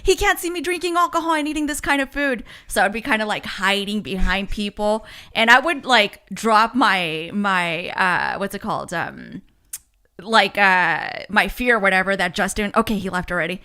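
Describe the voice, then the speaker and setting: Bright, smooth-voiced woman radio host. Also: mid-range voice, moderate pace. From United States. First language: Spanish. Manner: chatty, animated storyteller with fillers. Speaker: radio morning show host, podcast guest. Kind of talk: casual interview chat.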